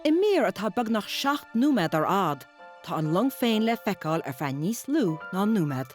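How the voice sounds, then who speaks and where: A woman's voice: medium pitch, big pitch swings, quick pace, darkish tone. An Irish woman reads scripted documentary narration as a voice-over. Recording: voice-over with music behind. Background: music.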